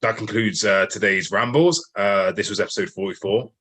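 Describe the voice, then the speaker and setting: A man's voice: on the low side, moderate pace, bright, forceful tone, breathy edge. A man hosting a casual interview podcast, dry and exasperated with his guest. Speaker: podcast host.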